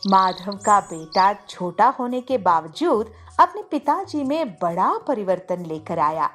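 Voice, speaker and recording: A woman narrating a scripted moral story for an animated children's video. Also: medium pitch, slow. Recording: music behind the voice.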